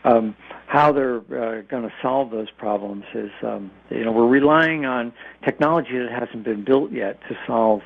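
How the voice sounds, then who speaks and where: Gravelly American man on a tinny phone line. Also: medium pitch, moderate pace. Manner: informal, explanatory, hesitant stammering starts. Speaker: nuclear expert guest. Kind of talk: explanatory radio interview. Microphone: telephone.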